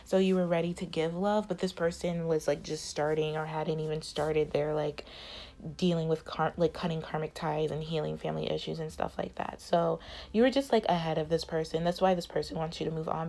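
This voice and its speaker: A woman's voice: deep voice, steady tone, brisk, creaky, warm tone. Rambling woman reading tarot, fading voice. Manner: rambling, many filler likes. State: losing her voice. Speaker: tarot reader. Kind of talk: casual tarot reading monologue.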